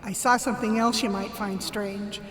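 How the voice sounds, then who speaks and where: A woman's voice: mid-range voice, fairly even intonation, moderate pace, a bit bright, slight background noise, lightly acted. A woman playing an eager, gossipy newspaper reporter in a radio drama, confident and confiding. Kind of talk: contemporary radio drama acting. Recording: reverberant corridor with background ambience.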